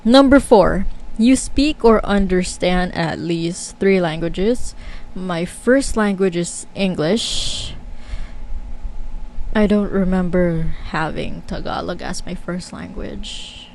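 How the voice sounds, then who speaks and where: A woman's voice: fairly low pitch, takes it slowly, darkish tone, poor audio. Chatty young woman vlogger. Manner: chatty and candid, many fillers, laughs often. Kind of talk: casual talking-to-camera chat.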